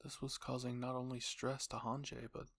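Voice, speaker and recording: A man's voice: mid-range voice, monotone, moderate pace, a bit bright. A man narrating a scripted roleplay story, addressing the listener in the second person.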